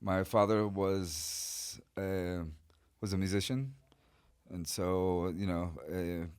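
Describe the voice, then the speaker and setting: Deep-voiced man telling stories. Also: darkish tone, smooth. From Chile. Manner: rambling, halting with many fillers. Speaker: guest artist. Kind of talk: casual interview storytelling.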